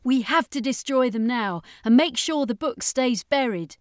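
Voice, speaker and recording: A woman's voice: fairly high pitch, expressive, moderate pace, no echo, studio quality, pitched above the performer's own voice, close to an everyday voice. A woman reading a storybook, voicing an insistent, ruthless witch. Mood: urgent, grave.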